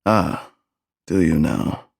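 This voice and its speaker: A gruff, offhand gentleman hunter with an English accent, played by a male narrator in a storybook reading. His voice drops low and deep, and the sound stays close and dry. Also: drawn-out words, dry recording, heavily acted. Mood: probing.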